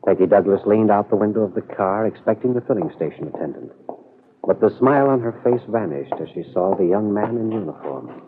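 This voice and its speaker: A man with an American accent narrating a radio drama in a measured, dramatic storytelling manner, on a muffled vintage recording. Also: lowish voice, lively intonation, a little hiss or hum.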